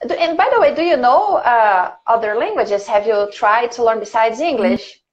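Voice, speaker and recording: Brazilian woman hosting an english chat class, high voice, muffled call audio, asks loads of questions. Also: animated, measured pace. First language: Portuguese. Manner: chatty, curious, asks many questions. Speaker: conversation class host. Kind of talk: casual video call conversation. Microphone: video call.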